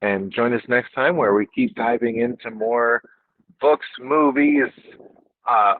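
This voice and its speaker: A slightly muffled, lo-fi recording of a man hosting a podcast. His voice sits at a medium pitch as he chats casually and agrees readily, affirming what his guest offers. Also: a little slow. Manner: affirming, brief agreeable responses. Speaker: podcast host.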